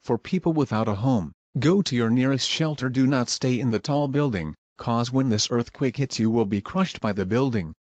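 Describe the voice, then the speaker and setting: A man delivers a scripted emergency alert. His pitch is mid-range and the sound is dry, as an amateur announcer issuing safety instructions. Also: moderate pace, hardly any pauses, darkish tone, no echo.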